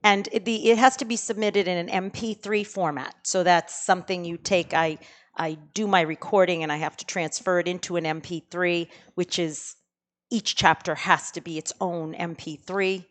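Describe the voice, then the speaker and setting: Boston-accented woman, low voice. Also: fairly even intonation, moderate pace. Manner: explains her work step by step. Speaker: audiobook narrator guest. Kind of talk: casual podcast chat.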